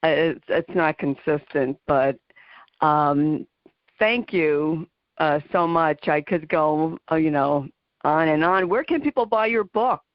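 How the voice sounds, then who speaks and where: A woman's voice: low voice, slow, no room sound. A woman from New Jersey with a New Jersey accent hosting a radio talk show, effusive and chatty, with many fillers. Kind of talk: casual interview chat. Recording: muffled dry radio audio.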